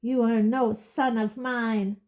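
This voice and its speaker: A woman's voice: a little high, steady tone, measured pace, close to an everyday voice. A woman voicing a harsh middle-aged male judge who bellows his verdicts. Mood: cold fury. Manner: loud, cold, bellowing.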